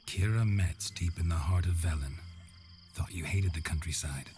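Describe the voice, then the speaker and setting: An actor playing a gruff, world-weary monster hunter in a video game, terse and deadpan with a gravelly voice. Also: male voice, sits low, normal speed, music in the background, close to an everyday voice. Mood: wry, dry. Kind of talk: video game drama acting.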